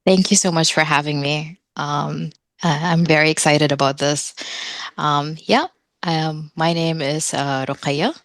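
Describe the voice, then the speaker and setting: A woman's voice: low voice, fairly even intonation, a little slow, dry recording, clean studio sound. A woman in her thirties, from Sudan and raised in Oman, answering as a podcast guest in a casual, hesitant way with many fillers. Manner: hesitant, many ums and uhs.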